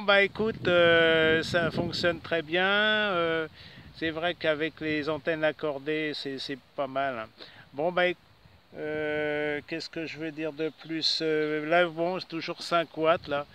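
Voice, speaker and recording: A man chatting hesitantly in a casual amateur radio contact, using call signs and frequent tag words. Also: a little high. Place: outdoors in a city park. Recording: clearly noisy outdoor recording with clicks.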